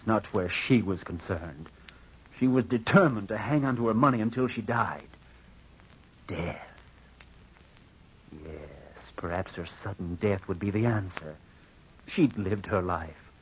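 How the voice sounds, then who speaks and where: An actor playing a spendthrift young nephew in a vintage radio drama, melodramatic and self-pitying, with a scheming streak. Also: male voice, low voice, husky, highs cut off. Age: young adult. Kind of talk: 1940s radio acting with first-person narration.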